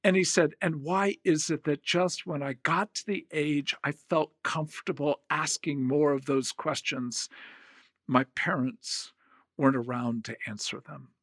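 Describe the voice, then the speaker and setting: A man's voice: fairly high pitch, fairly even intonation, unhurried, fairly bright tone, no room sound. Older male podcast host in his 60s, thoughtful storytelling tone, dry close studio sound. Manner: thoughtful, storytelling, hesitant restarts. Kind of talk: reflective podcast conversation.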